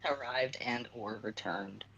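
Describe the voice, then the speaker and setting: Woman, extremely deep pitch, playful, casual livestream chat. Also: takes it slowly, vocal fry, a bit bright, muffled recording, a little hiss or hum. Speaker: livestream co-host.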